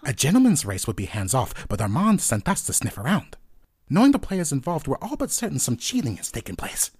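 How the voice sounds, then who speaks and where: An eager rookie gumshoe in a comic noir audio play, husky and swinging widely in pitch. The smooth seducer act keeps slipping toward fluster, all recorded close and dry. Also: sing-song, fairly quick, darkish tone, no echo. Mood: flustered, puzzled. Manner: mock-smooth flirting that slips into flustered exclamations. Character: eager rookie detective, awkwardly playing suave tough interrogator. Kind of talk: noir comedy audio drama acting.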